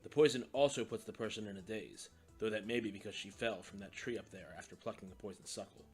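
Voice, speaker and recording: A man's voice: on the low side, fast, soft background music, natural voice. A man voicing a knowledgeable hiker in an audio story, explaining things in a precise, matter-of-fact way. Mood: clinical, calm. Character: steely-eyed, knowledgeable, sympathetic man on a nature hike. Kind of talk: audiobook character acting.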